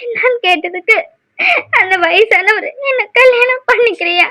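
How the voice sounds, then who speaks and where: A woman's voice: very high voice, a little fast, fairly bright tone. A woman acting a timid, wronged young village single mother in an emotional drama, pleading in a tearful, plaintive way. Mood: tearful, hurt.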